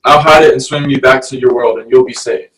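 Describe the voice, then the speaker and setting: A man's voice: medium pitch, fairly even intonation, brisk, a bit bright, far from the mic, slight background noise, natural voice, poor audio. An actor at a table read playing a stern, grumpy fish-man guardian with a dry, exasperated manner. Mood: urgent.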